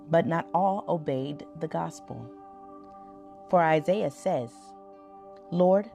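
A woman reading Bible scripture aloud for a podcast in a measured, solemn manner. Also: deep voice, vocal fry, darkish tone.

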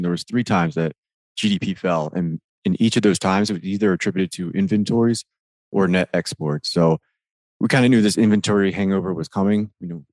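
A man giving measured, casual explanations of economic data as co-host. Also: low voice, a little mellow, no echo. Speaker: co-host analyst. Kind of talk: economic commentary.

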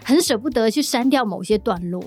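A woman's voice: a little high, animated, a little fast, a little mellow, husky. A Taiwanese woman hosting a casual podcast interview, chatting animatedly and repeating short phrases for emphasis. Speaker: podcast host, audio drama producer.

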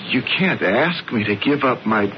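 An actor playing a lovesick, anguished sculptor, the younger brother in a radio drama, speaking earnestly with halting, stammering phrasing. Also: male voice, medium pitch, lively intonation, natural voice. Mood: torn, pleading. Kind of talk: 1970s radio drama acting. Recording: dull, noisy old radio broadcast.

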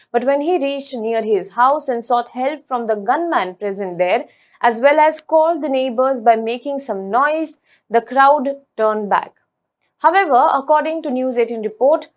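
A woman presenting a scripted news report in an earnest, measured way. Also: on the high side.

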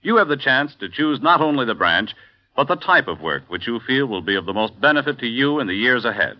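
A man's voice: medium pitch, big pitch swings, a little fast. A male radio announcer reading a recruitment advertisement in a formal, earnest manner. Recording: lo-fi old radio broadcast.

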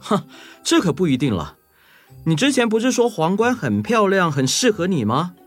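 A man's voice: high voice, very animated, moderate pace, faint music behind. A man voicing a suspicious, sneering male bird, a jealous husband in a children's story. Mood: sneering, accusing.

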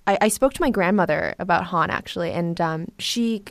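A woman from Korea hosting a documentary podcast, narrating and interviewing softly with thoughtful pauses. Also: fairly low pitch, creaky, no room sound, studio quality.

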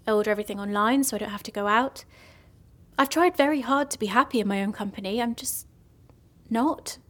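A woman's voice: on the high side, fairly quick, natural voice. An actress playing a lonely, gloomy young woman in an audio drama comedy, telling morbid stories earnestly and hesitantly. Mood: lonely, pleading.

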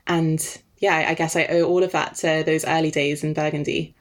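A woman from Denmark whose first language is Danish, chatting thoughtfully as a podcast guest with frequent pauses. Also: low-pitched, flat delivery. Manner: thoughtful, with frequent "um" and light laughs. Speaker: guest, wine writer. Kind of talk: casual interview chat.

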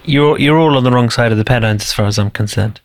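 A man's voice: medium pitch, fast, no room sound. A man from Leeds hosting a music podcast, chatting easily and making light of himself. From Leeds, England. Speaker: podcast host. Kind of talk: casual interview chat.

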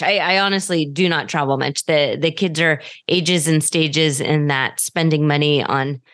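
A woman's voice: low voice, a bit bright. An American woman hosting a podcast in a conversational, effusive and self-deprecating way. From United States. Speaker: podcast host.

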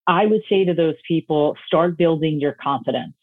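A woman's voice: low-pitched, normal speed, no echo. A woman health coach answering interview questions in an emphatic, self-assured way. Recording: tinny phone-line audio, dry.

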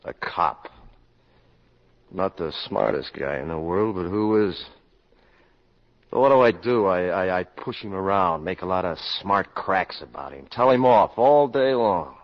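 An American actor playing a weary, self-reproaching public health doctor and husband in a 1950s radio drama. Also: male voice, low-pitched, animated, a little slow. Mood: tired, contrite. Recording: dull lo-fi 1950s radio recording.

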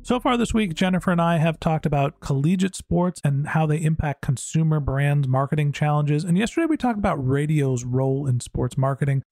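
A man's voice: fairly high pitch, brisk, few pauses, darkish tone, no room sound, clean studio sound, soft background music. A man hosting a podcast in a casual, conversational interview style, with an informal, self-deprecating way of talking. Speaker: podcast host.

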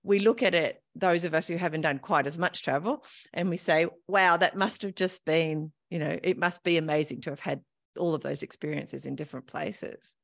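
A woman's voice: sits low, normal speed, vocal fry, dry recording, clean studio sound. A woman from Australia hosting a running podcast interview, chatty and enthusiastic. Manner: enthusiastic, chatty, warm laughter. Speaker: podcast host.

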